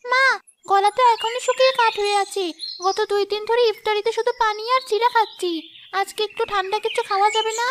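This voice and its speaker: A woman's voice: very high voice, fairly even intonation, quick pace, noisy background. A woman voicing a young girl from a poor family in a cartoon who coaxes her mother. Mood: coaxing. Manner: coaxing, wheedling.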